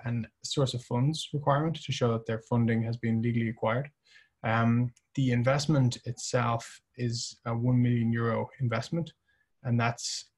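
A middle-aged man speaks over a video call in a flat, even tone, giving a slide presentation. He talks in measured phrases, often pausing on fillers and restarting a sentence. His voice sits at an ordinary pitch for a man. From Ireland. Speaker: company chief presenting at a webinar. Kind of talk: webinar presentation.

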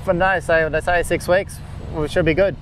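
A man, explaining golf green work in a relaxed way with many fillers, recorded outdoors on a course. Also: on the high side, moderate pace, a little hiss or hum, low-quality recording. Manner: laid-back, lots of "like" fillers. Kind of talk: casual explanatory interview.

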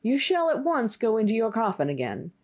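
Lady doing a cheeky fearless young guy in a storybook, pitched up, super lively, eager. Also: a little fast, husky, exaggerated voice. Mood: bold, unafraid. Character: fearless, naive, cheerful young man from a fairy tale.

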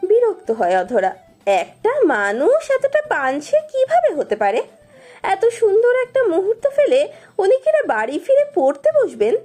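A woman's voice: very high voice, huge pitch swings, moderate pace. A woman narrating a romance story expressively from a script. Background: soft music.

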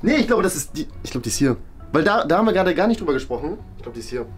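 A young man chatting casually as a challenge contestant, animated and jokingly self-assured. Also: a little high, racing, faint music behind. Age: young adult. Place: small indoor studio storage rooms.

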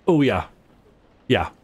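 A man's voice: mid-range voice, darkish tone, husky, highs cut off. A man giving casual, slangy let's play commentary with some swearing. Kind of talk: casual gaming commentary, reading dialogue aloud.

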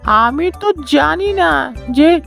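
A woman's voice: sits high, lively intonation, extremely slow, gravelly, a bit bright, soft background music, exaggerated voice. A woman voicing a naive, trusting little duckling in a children's cartoon. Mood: friendly, innocent. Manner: innocent, trusting.

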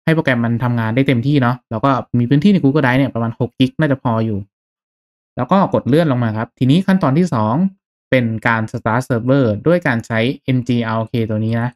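Guy, mid pitch, doing a chatty step by step computer tutorial voiceover. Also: brisk, a little mellow. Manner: instructional, conversational with polite particles. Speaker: tutorial host. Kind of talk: casual step-by-step software tutorial.

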